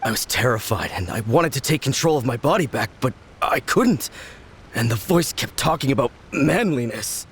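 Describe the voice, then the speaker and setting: A man's voice: medium pitch, very animated, normal speed, a bit bright, a little hiss or hum. Shaken, timid young swordsman. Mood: distressed. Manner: earnest, fretful. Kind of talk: video game voice acting.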